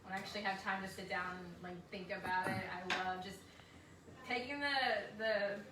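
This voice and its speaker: A woman's voice: medium pitch, steady tone, fairly quick, background noise. A woman chatting casually as a member of a podcast team. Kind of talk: casual group chat. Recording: roomy, distant mic, people chattering behind.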